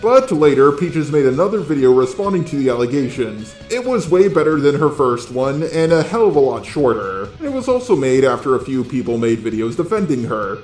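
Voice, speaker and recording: A man's voice: medium pitch, very animated, brisk, hardly any pauses, darkish tone, reverberant, faint music behind. A synthetic, robot-like man's voice reading scripted commentary as the host of a video. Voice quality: synthetic robot-like text-to-speech voice. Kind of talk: scripted drama commentary narration.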